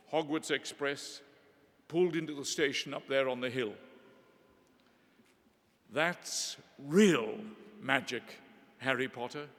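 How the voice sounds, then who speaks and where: Middle-aged guy from northeast england, formal speech, bright voice, lots of hall echo. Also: medium pitch. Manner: measured, eloquent, gently humorous. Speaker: ceremony speaker. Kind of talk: formal ceremonial address. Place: large hall. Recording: long hall reverb.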